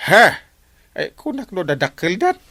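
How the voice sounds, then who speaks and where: A man's voice: high-pitched, very animated, moderate pace, sharp tone, slight background noise, exaggerated voice. A man playing a whiny, stammering village captain who malingers, in a comedy radio drama. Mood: eager. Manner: whiny, hesitant stammering, exclamatory.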